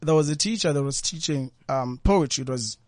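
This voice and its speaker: A man's voice: a little high, a little fast, darkish tone, no echo. South African man in a dry studio. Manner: thoughtful, repeats words and phrases. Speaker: guest poet and former journalist. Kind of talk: conversational radio interview. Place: radio studio.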